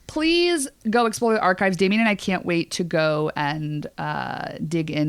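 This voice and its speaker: A woman's voice: low-pitched, gravelly, no echo. A woman co-hosting a podcast, chatting casually in an enthusiastic, emphatic way. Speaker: podcast co-host. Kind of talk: casual chat.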